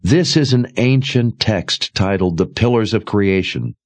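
A man voicing a calm, commanding young lord in a fantasy audiobook, measured and explanatory. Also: on the low side, no echo, voice pushed up.

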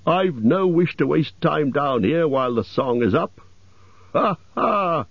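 A man voicing merry, impatient elves in an audiobook, exclaiming loudly and teasingly. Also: on the high side, lively intonation, moderate pace, gravelly, dry recording, highs cut off, voice pushed up. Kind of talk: audiobook character voices.

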